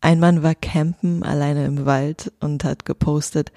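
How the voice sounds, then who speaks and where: A woman co-hosts a casual podcast and talks in a frank, chatty way, laughing often. Her voice has a gravelly edge and sounds dry and close to the mic. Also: sits low, fairly even intonation, unhurried, warm tone, no room sound. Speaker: podcast co-host.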